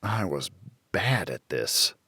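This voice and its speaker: A man narrating a thriller audiobook in the first person, with a brooding hardboiled delivery and dramatic pauses. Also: deep voice, very animated, pushed and bright, slightly rough, no echo, studio quality.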